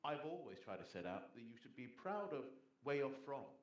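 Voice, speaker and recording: A man's voice: mid-range voice, sing-song, normal speed, lo-fi sound. Earnest middle-aged man in a roomy hall. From Zambia. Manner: earnest, persuasive, builds points in lists. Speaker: panel speaker, former economy minister. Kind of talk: panel discussion talk. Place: conference hall.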